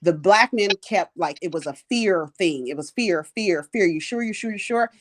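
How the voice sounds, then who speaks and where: A woman chatting casually, expressive and repeating her points for emphasis. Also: fairly low pitch, normal speed. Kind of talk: casual chat.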